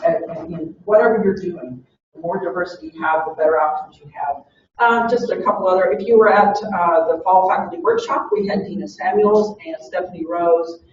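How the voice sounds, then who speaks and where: A woman's voice: lowish voice, animated, fairly quick, slight background noise. A woman from Oklahoma presents to a university search committee. She tells a personal story and asks rhetorical questions. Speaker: university diversity administrator. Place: meeting room. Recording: distant, echoey, dull room recording.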